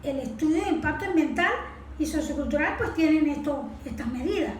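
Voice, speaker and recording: High-voiced woman explaining in echoey room. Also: animated, brisk. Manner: didactic, poses rhetorical questions and answers them. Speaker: environmental expert being interviewed. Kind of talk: explanatory interview answers with slides. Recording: echoey room with faint background noise.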